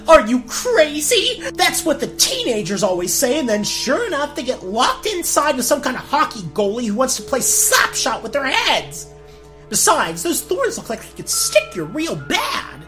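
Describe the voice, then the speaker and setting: A woman's voice: high voice, wildly swooping pitch, unhurried, fairly bright tone, faint music behind, pitched above the performer's own voice, full character voice. A woman voicing a cowardly, easily flustered young prince in a gag-dub cartoon radio play, stammering and breaking into indignant outbursts. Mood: panicked.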